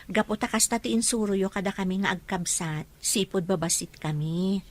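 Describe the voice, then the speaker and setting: A woman's voice: medium pitch, moderate pace, natural voice. A woman playing a dutiful, kind young daughter in a radio-style drama. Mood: caring.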